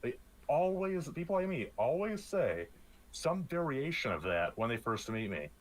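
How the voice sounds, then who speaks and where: A young man with a deep, loud voice co-hosts a casual podcast. His pitch swings in a lively way as he restarts his thoughts, and he slips in deadpan jokes. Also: normal speed, rough recording. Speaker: podcast co-host. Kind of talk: casual chat. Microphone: streamed podcast mic.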